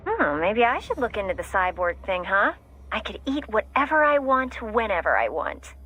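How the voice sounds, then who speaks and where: An actress playing a curious, playful colleague in a video game, casual and teasing. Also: female voice, medium pitch, very animated, normal speed, a bit bright, husky, lo-fi sound. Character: curious, playful female colleague of a cyborg soldier.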